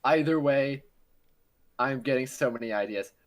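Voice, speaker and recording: A man's voice: mid-range voice, moderate pace. A man hosting a casual podcast call, joking playfully and rambling between ideas. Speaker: podcast host.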